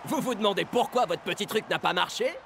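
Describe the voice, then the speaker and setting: Cartoon footballer, very high gravelly voice, cocky, emphatic, music behind. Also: male voice, fast talker. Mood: smug. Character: cocky, scrappy male footballer. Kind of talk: animated cartoon dub.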